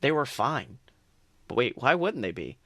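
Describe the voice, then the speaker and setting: A man's voice: mid-range voice, sing-song, measured pace, fairly bright tone, no echo. Man reading fan fiction, dry close sound. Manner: earnest, with small stumbles and restarts. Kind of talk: dramatic reading of second-person fan fiction.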